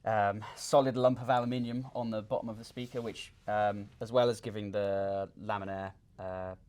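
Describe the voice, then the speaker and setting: A man with a fairly low-pitched voice talks as a designer being interviewed. He explains technical detail in an informal way, pausing and hesitating often as he goes. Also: a little mellow. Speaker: loudspeaker designer and company representative.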